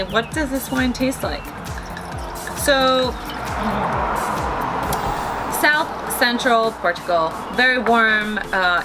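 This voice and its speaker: A woman hosting a weekly wine tasting, describing wines in a chatty, bubbly way with lots of "like" fillers, with music under her voice. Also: medium pitch. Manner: chatty, bubbly, heavy use of "like". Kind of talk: casual wine tasting presentation. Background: background music.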